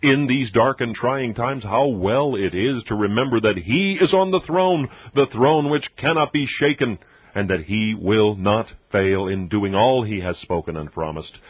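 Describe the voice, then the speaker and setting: A man reads religious text aloud as an audiobook narrator, in a solemn, measured and emphatic preacherly manner. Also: fairly low pitch, breathy edge, no echo. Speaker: audiobook reader. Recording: dull muffled radio-like sound, very dry.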